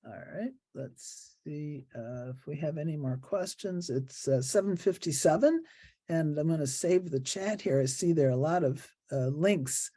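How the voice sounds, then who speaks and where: A woman moderating an online meeting, reading closing announcements from a script in a measured, formal way. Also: deep voice, lively intonation, mellow, no echo, clean studio sound. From Illinois, US.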